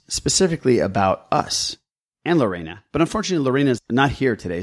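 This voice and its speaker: A man hosting a podcast in a casual, jokey way, often repeating his phrases. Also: mid-range voice. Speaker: podcast host.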